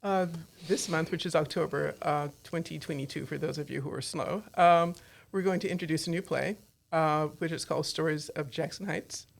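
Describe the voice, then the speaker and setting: Gravelly lady host cracking jokes in the studio, kinda low voice. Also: steady tone, moderate pace. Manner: playful, teasing, self-mocking. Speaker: talk show host. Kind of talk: casual studio chat with jokes.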